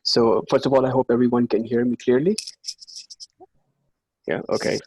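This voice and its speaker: A man's voice: mid-range voice, steady tone, normal speed, darkish tone, husky, dry recording, poor audio. A man speaking as a co-author at an online book launch, polite and using "you know" fillers. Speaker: co-author on a panel. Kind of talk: online panel remarks. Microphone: video call.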